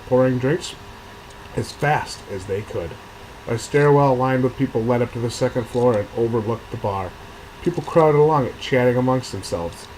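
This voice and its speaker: A man's voice: mid-range voice, a little mellow, a little hiss or hum, poor audio. A man reading fiction aloud as its author, with casual asides as he thinks aloud about edits. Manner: thinks aloud, rereading and revising sentences. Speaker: author reading own novel draft and commenting on edits.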